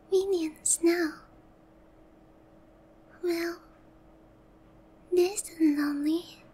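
A woman voicing a haughty but lonely, easily flustered female demon lord in roleplay ASMR, theatrical and often breathy. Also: very high-pitched, warm tone. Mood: dejected, lonely. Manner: slow, theatrical, teasing.

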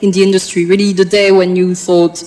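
A woman's voice: fairly low pitch, flat delivery, fairly quick, darkish tone. A woman in the audience politely asking the speaker a question. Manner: polite, formal. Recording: distant in a reverberant hall.

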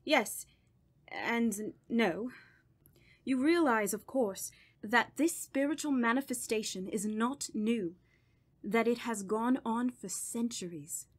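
Occult doctor, female actress, radio horror: fairly high voice, grave, commanding, deliberate delivery. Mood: grave, explanatory. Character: grave, commanding woman doctor who studies evil spirits. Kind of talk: radio horror drama acting.

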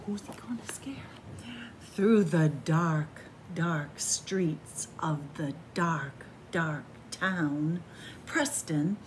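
A middle-aged woman reading a picture book aloud for children, dramatic, with hushed whispers and drawn-out words. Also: fairly low pitch, slight background noise. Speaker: library story time host. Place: small library room with bookshelves, indoors.

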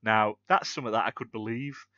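A man's voice: lowish voice, fairly quick, bright, forceful tone, no echo, muffled recording. A British man from the UK co-hosting a gaming podcast, chatting casually and rambling through news with frequent restarts. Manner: rambling, self-correcting, chatty. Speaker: podcast co-host. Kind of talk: casual chat.

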